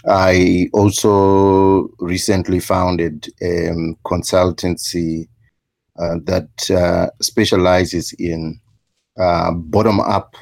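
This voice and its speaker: A man from Zimbabwe, a professor and podcast guest, answering in a measured way with many hesitations. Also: sits low, little pitch movement, gravelly, a little mellow, dry recording. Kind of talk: interview answers.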